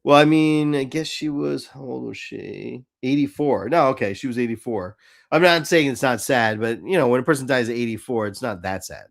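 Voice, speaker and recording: A man with a mid-pitched voice hosts a casual fan chat about cartoons. He is blunt and irreverent, and swears offhandedly as he goes. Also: moderate pace, vocal fry. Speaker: host of a live fan show.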